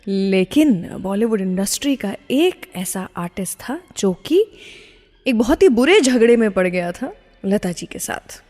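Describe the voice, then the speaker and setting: A woman's voice: mid-range voice, a little mellow, long reverb tail. A woman hosting a radio show, telling stories in a conversational way and putting teasing questions straight to her listeners. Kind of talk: storytelling radio presenting.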